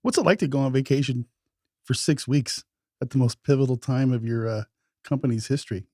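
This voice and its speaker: A man's voice: mid-range voice, darkish tone, no room sound, clean studio sound. A man co-hosting a gaming podcast, teasing and joking in casual chat. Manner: joking, quick to laugh, teasing. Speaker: podcast co-host.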